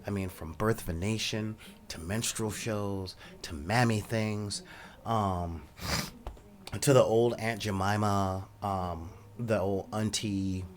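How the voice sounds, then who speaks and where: A soft-spoken man hosting a podcast, talking casually in a rambling monologue full of ums and asides. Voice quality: says he has a high voice. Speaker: podcast host.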